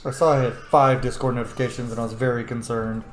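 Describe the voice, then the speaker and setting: Male gamer, casual playthrough commentary, informal, sweary, muttering, medium pitch, echoey room. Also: little pitch movement, fast talker. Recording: echoey room, faint noise.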